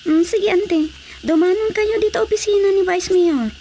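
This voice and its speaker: A Filipino woman acting a coy, animated younger woman in a radio drama comedy. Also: very high-pitched, normal speed, a little hiss or hum. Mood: casual, defensive.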